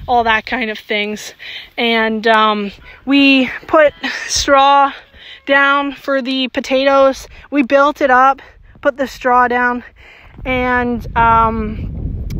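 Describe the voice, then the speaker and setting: A woman in her thirties giving a casual, chatty tour of her vegetable garden, with lots of ums, outdoors on a phone held at arm's length. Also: fairly high pitch. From Canada. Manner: relaxed and chatty, with many ums. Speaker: homesteader vlogger.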